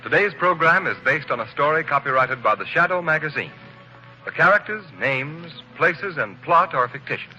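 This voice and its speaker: A male announcer delivers a formal closing statement. His voice is bright and crisp, with faint music underneath. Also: fairly high pitch, expressive, normal speed, dull audio.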